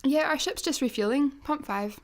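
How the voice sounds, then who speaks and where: A woman's voice: high-pitched, normal speed. A woman playing a practical, matter-of-fact space fugitive in a sci-fi comedy radio play.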